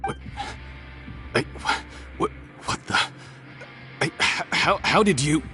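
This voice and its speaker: An actor playing a smug, ruthless fugitive crime boss in a video game, with a mocking, theatrical sneer. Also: male voice, a little high, wildly swooping pitch, slow, heavily acted. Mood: shocked. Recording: game music behind the voice.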